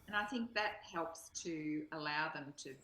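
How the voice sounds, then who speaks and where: A woman's voice: sits low, unhurried, pushed and bright, a little hiss or hum. A woman in a reflective spiritual discussion, thinking aloud tentatively, recorded with a roomy echo. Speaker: co-reader and discussion partner. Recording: roomy, echoing sound.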